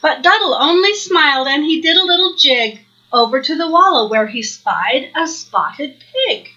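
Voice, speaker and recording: A woman reads a children's storybook aloud with sing-song expression. Her voice is high and bright, and she takes her time, inviting the listener in. The recording is a little lo-fi. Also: animated, moderate pace. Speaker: storybook reader.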